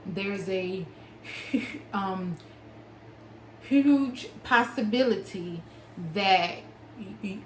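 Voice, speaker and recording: Very slow-talking woman who stutters. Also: mid-range voice, echoey room, slight background noise, poor audio. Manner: stutters, with frequent pauses and blocks; smacks lips between words. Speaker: small business owner and author. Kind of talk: personal talk to camera.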